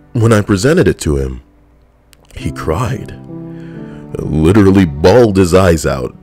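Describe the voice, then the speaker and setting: Deep-voiced man telling a blunt story. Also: darkish tone. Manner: blunt, profane, conversational. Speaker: veteran storyteller. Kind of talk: personal storytelling.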